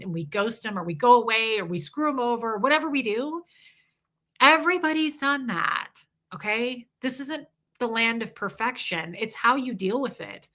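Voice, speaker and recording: A woman's voice: on the high side, bright, forceful tone. A woman in her forties hosting a casual livestream, reading viewer super chats and talking candidly, with frequent swearing. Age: 40s. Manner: candid and profane, reading viewer comments aloud. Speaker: livestream host.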